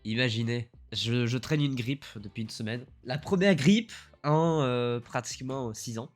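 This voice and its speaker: Homme enrhumé, bavard et enthousiaste. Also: male voice, a bit bright. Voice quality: slightly lower, husky voice from the cold. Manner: chatty, enthusiastic, rambling with fillers. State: congested with a cold. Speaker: YouTuber. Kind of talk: year-in-review vlog talk.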